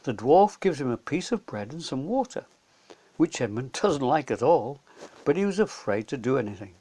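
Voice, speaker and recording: Animated male children's storyteller. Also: medium pitch, moderate pace. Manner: simple, clear retelling for children.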